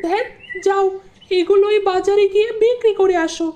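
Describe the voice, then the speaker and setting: A woman's voice: very high voice, fairly quick, mellow. A woman playing a dissatisfied, nagging village wife in a cartoon, complaining with scorn. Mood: nagging, scornful. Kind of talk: Bengali cartoon dialogue.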